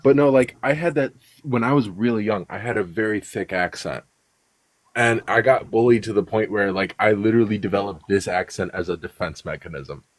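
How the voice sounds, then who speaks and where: A man's voice: on the low side, fairly quick. Rambling man with a Chicago accent. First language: Russian. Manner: rambling storyteller, many fillers and restarts. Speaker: guest. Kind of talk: casual conversation.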